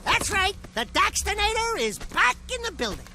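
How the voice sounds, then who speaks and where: A man voicing a wisecracking, boastful little furry sidekick who is excitable and cocky. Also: extremely high pitch, vocal fry, noisy background, full character voice. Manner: cocky, excitable, fast quips.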